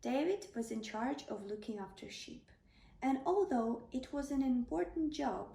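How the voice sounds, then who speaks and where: A woman's voice: sits high, expressive, moderate pace, vocal fry, dark tone. A woman narrating a scripted children's bedtime story as a storyteller. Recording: echoey room, slightly distant microphone.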